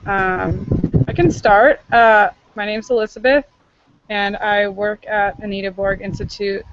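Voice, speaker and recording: A woman's voice: mid-range voice, vocal fry, background noise. A young woman from Northern California, an intern leading an online meeting in casual chat, friendly and full of fillers. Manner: chatty, filler-heavy, friendly. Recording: muffled online call audio.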